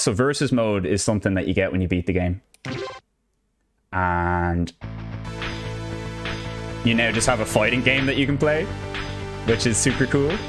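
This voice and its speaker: A young Irish man with an Irish accent, casually chatting through a live gameplay stream in an upbeat, rambling way. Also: mid-range voice. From Ireland. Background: game music.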